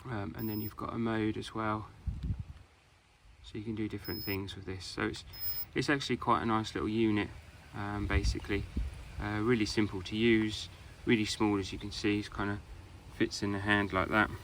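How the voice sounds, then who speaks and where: A British man giving a casual hands-on product review in an informal, halting way. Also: on the low side, flat delivery, noisy background.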